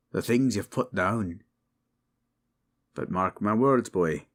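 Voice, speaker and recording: A gruffly generous dwarf king, played by a man, speaking in a slow, measured way. He is blunt and commanding, a dour ruler from a fantasy audio drama. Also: lowish voice, lightly acted.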